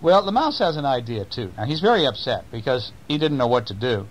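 A man's voice: mid-range voice, big pitch swings, fairly quick, poor audio. A middle-aged man playfully telling a bedtime story to children, improvising and checking in with his listeners as he goes. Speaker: father reading bedtime stories to children. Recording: faint noise, homemade recording.

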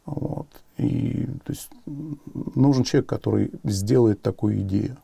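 A man in his fifties speaks reflectively in an interview, with heavy vocal fry and a very dark tone. He phrases things in measured, hesitant pieces in a dry studio. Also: fairly low pitch, dry recording. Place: small soundproofed studio, seated.

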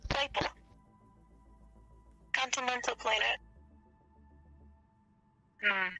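With music clearly behind her, a woman chats casually in a game. Her voice is high and she speaks very slowly. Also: sharp tone, rough recording. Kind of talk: casual gaming chat.

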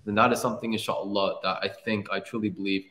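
A man hosting a podcast argues his point emphatically and persuasively. His low voice carries a roomy echo over the video call. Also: flat delivery, fast talker, sharp tone. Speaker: podcast host. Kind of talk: casual podcast chat.

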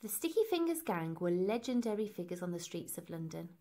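A woman reading a children's story aloud in an expressive, deliberate and emphatic way. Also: lowish voice, a little hiss or hum. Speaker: storybook reader.

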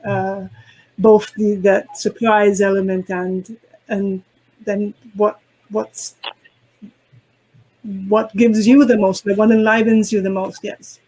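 A woman whose first language is Italian, speaking as a poetry translator on a video call panel, slow and halting as she searches for words. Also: medium pitch, little pitch movement, mellow, rough recording. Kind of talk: reflective panel discussion.

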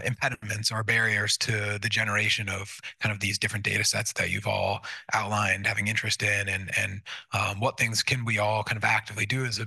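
Husky low-voiced panel moderator, a man. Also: bright, forceful tone, dry recording. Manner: casual, loose with fillers.

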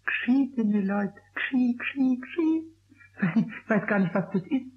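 A man voicing a childlike, mischievous, whiny little kobold in a radio play, squeaky and stammering. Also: lively intonation, slow pace, full character voice. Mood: flustered. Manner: stammering, pleading, cheeky. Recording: dull, noisy old radio play recording.

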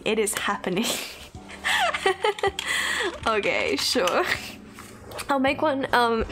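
A young Australian woman streaming from her bedroom, chatting casually with viewers, giggling often and apologizing a lot. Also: medium pitch. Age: young adult. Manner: chatty, giggly, apologetic, reads chat aloud. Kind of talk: casual chat with live chat viewers. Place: bedroom at home, indoors.

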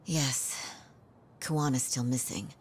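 An actor playing an earnest young male lawyer in a game cutscene, polite and subdued, with a creaky, husky voice. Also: mid-range voice, fairly even intonation, takes it slowly, slight background noise, lightly acted.

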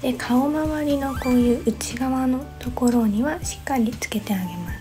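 A young woman with a slightly high voice talks through a hair styling tutorial in gentle, step-by-step instructions. She is a hairstylist in her twenties, and clear background music runs under her voice-over. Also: moderate pace. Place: small bright studio indoors.

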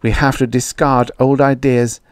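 A man voicing a quick-tempered woman academic who argues and lectures with emphatic conviction. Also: medium pitch, little pitch movement, clean tone, dry recording, studio quality, voice pushed up, natural voice. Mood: insistent.

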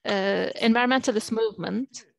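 A woman's voice: fairly high pitch, fairly quick, vocal fry, dry recording. A woman interpreting a speech over a video call, hesitant with frequent fillers.

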